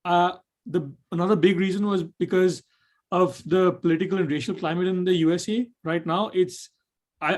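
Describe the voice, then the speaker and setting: A man's voice: on the high side, a little mellow. A young man from Nepal answering webinar questions about his college choice, rambling with many fillers and restarts over a video call. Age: young adult. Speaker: admitted student panelist.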